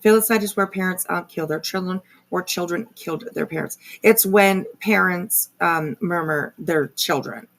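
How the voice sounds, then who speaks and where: Woman livestreamer reacting casually, lots of vocal fry, lowish voice, snarky and combative, kinda rambly. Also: normal speed, few pauses, fairly bright tone. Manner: rambling, sardonic, confrontational. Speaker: livestream host reacting to another creator's video. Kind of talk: casual live commentary.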